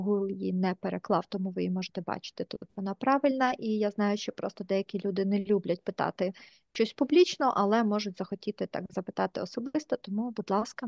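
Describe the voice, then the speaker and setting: Woman interpreting a webinar: measured, careful, heard through muffled, dry video call sound. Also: mid-range voice, creaky, a little mellow, no room sound. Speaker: interpreter and moderator.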